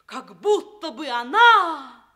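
A woman's voice: high voice, very animated, takes it slowly, bright, forceful tone, full character voice. An actress playing a scheming, passionate noblewoman in a fairy-tale radio drama, declaiming theatrically. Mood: indignant, pleading. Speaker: stage actress.

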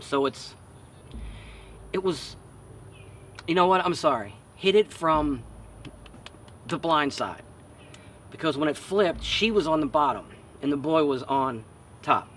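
A middle-aged American man telling a personal story casually and bluntly, with plenty of profanity and acted-out dialogue, recorded outdoors. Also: a little high. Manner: animated, blunt, acts out dialogue. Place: outdoors in a garden. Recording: slightly distant outdoor mic, background noise.

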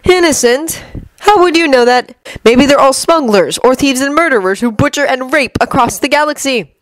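A woman's voice: sits high, animated, moderate pace, voice pushed up, poor audio. A woman reading a fan fiction audiobook voices a cold, scornful male schemer who talks in a menacing, persuasive way. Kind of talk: audiobook character voice.

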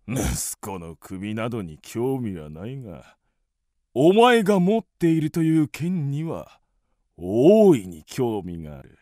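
An actor playing an imperious, greedy old king of ancient China in a radio drama, with a gravelly, haughty, lordly delivery. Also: medium pitch, wildly swooping pitch, slow, mellow, no echo, clean studio sound. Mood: haughty, demanding. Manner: haughty, archaic lordly speech. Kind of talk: Japanese radio drama acting.

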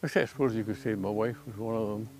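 A man in his nineties, a war veteran, haltingly recalling old friends in an interview. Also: lowish voice, steady tone, gravelly, darkish tone. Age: 90s. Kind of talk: interview reminiscence. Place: outdoors among greenery.

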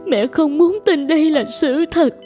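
Upset cartoon mom, pleading, really high voice, music behind. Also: female voice. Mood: grieving. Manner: pleading, drawn-out. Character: distraught, devoted mother of an injured boy.

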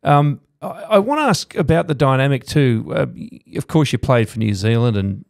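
A man's voice: mid-range voice, animated, moderate pace, gravelly, a little mellow, dry recording. A middle-aged man hosting a studio podcast interview, asking long, meandering questions full of fillers. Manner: rambling questions, many fillers. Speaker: host. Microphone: studio mic on boom arm.

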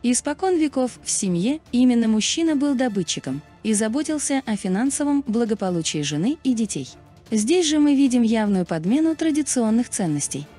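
A woman narrating a scripted video in a measured, explanatory manner. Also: on the high side, sing-song, dark tone, faint music behind.

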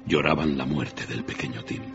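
An actor as the grave narrator of a radio drama ghost tale, telling it in a measured, solemn way. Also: male voice, very deep voice, fairly even intonation, fairly bright tone, soft background music, exaggerated voice. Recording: muffled, with clear ambient noise.

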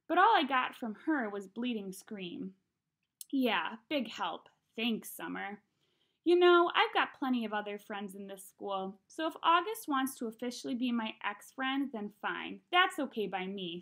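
A young woman reads a children's novel aloud in an expressive, conversational way, acting out the dialogue. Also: on the high side. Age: young adult. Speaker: read-aloud narrator. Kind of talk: expressive audiobook-style reading of a children's novel. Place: small room at home.

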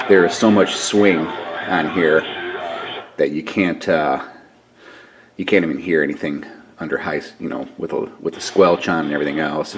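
An American man in his fifties giving a casual hands-on demonstration on a camera microphone in a garage workshop. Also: very deep voice. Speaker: DIY video host. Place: small cluttered garage workshop. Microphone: camera microphone at arm's length. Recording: handheld camera, clear background noise.

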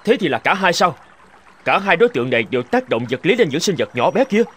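An actor playing a self-righteous young scholar in a cartoon folk tale, pompous and argumentative. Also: male voice, on the high side, big pitch swings, quick pace, fairly bright tone, a little hiss or hum. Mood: agitated. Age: young adult. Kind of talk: animated folk tale voice acting.

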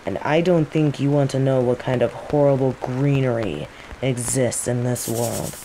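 A woman's voice: rumbling low, normal speed. A woman playing a teasing rival mage who interrogates a captive with casual, coaxing menace in an audio roleplay. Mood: quietly threatening. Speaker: voice actor in scripted audio roleplay. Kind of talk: intimate scripted audio roleplay acting. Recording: steady rain noise behind the voice. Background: rain.

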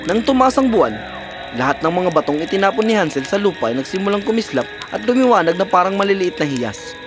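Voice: medium pitch, sing-song, fast, over music. A narrator telling a scripted fairy tale as a storyteller.

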